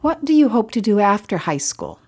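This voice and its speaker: Interviewer, female, mid-range voice, measured and simple questioning. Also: mid-range voice, big pitch swings, slightly rough. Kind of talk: scripted interview questions for English learners.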